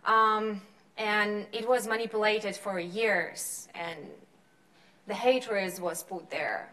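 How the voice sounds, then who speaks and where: A woman's voice: medium pitch, steady tone, slow pace, sharp tone, poor audio. A woman from Ukraine with a Ukrainian accent, answering questions after a lecture in an earnest, searching way. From Ukraine, Kherson region. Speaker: guest lecturer.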